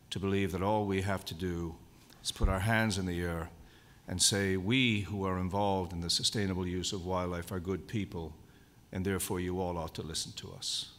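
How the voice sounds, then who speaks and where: Deep-voiced man lecturing in a hall. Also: a bit bright. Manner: measured, deliberate, emphatic. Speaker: conservation speaker at a convention. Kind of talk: formal lecture. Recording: long hall reverb.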